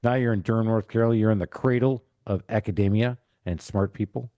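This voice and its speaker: A man's voice: on the low side, steady tone, fast talker, dark tone, no room sound, studio quality. A middle-aged man hosting a casual interview with jokey banter. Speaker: podcast host.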